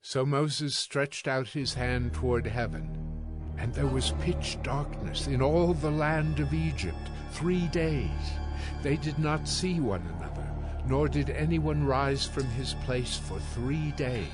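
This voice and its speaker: Scripture narrator: man, mid-pitched, scripted storytelling with music beneath. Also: slow.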